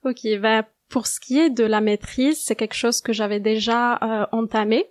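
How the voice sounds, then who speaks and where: A woman's voice: on the high side, fairly even intonation, normal speed, no room sound. A woman of twenty-seven, answering questions conversationally as a podcast guest. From Algeria. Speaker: podcast guest, international student.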